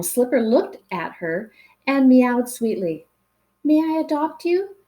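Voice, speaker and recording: A woman reading a picture book aloud to children in an expressive way, with dramatic pauses and chatty asides to the listeners. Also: lowish voice. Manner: animated, dramatic pauses, engages young listeners with questions.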